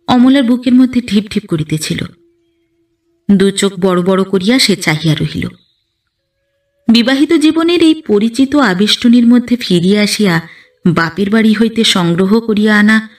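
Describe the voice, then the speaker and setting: A woman reading a literary story aloud in an expressive, dramatic, emotive manner. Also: medium pitch, recorded from a distance. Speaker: audio story narrator.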